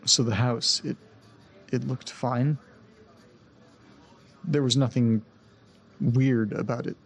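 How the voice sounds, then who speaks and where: A man playing a rattled, apologetic young man in an audio drama, speaking haltingly and stammering in a husky, creaky voice. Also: medium pitch, steady tone, soft background music. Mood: anxious, confused. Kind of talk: naturalistic audio drama acting.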